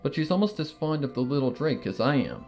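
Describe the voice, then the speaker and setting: Man reading a story aloud, calm and measured. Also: medium pitch, faint music behind. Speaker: fan fiction reader. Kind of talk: first-person audiobook narration.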